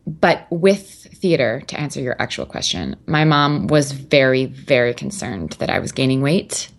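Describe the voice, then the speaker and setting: A woman's voice: a bass voice, animated, normal speed, vocal fry. A woman in her twenties talking candidly as an interview guest, chatty and rambling with many fillers and restarts.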